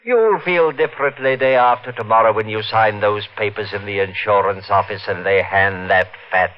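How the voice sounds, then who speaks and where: An actor playing a greedy murderer who narrates his own crime in a 1950s radio drama, smooth and menacing. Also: on the low side, normal speed, lightly acted. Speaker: narrator and lead. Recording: dull old radio recording with faint hiss.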